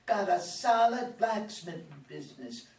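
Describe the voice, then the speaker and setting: A woman acting a sharp-tongued older farm wife in a play reading, folksy and dry, with an American accent. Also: medium pitch, sing-song, a little slow. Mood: tart, resentful. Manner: folksy, tart rural speech. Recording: distant mic, echoey room, faint noise.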